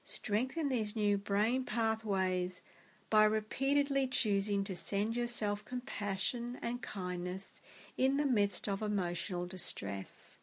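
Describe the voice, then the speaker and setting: Slow, soothing meditation guide, woman. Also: medium pitch. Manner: soft, gentle and soothing.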